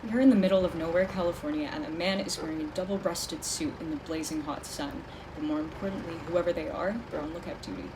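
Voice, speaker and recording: A tough female private detective played by a woman in an audio drama. Her voice sits fairly low, measured and commanding, wary and terse. Background noise runs behind her. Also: steady tone, natural voice. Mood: dry, observant. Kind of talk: audio drama acting with noir narration.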